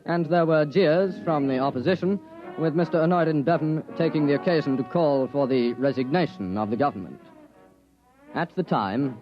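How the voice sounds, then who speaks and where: An actor playing a formal, measured documentary narrator in a 1950s radio drama, reporting like an official dossier. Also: male voice, a little high, natural voice. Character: formal male documentary narrator of a wartime intelligence operation. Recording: dull 1950s radio recording. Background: music.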